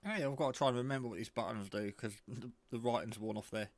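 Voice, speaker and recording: A man giving a casual, off-the-cuff product demo, rambling in a low-key way as he thinks aloud. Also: fast, no echo. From England. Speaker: hobbyist reviewer.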